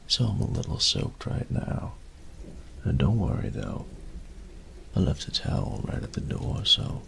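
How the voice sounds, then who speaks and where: A man's voice: low voice, creaky, fairly bright tone, slight background noise, exaggerated voice. A man playing a carefree, thrill-seeking college roommate in an audio roleplay, talking in an easygoing, nonchalant way.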